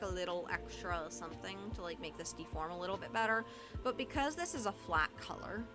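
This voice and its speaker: A woman with a mixed Southern and Midwestern accent, chatting casually as she walks through a livestream tutorial, often restarting her sentences. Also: a little high. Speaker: Live2D rigging streamer.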